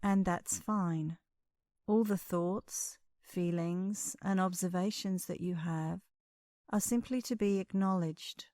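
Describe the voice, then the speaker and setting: A woman leading a guided meditation as an instructor, speaking in a calm, soothing, measured way with long pauses. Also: fairly low pitch, no room sound, studio quality.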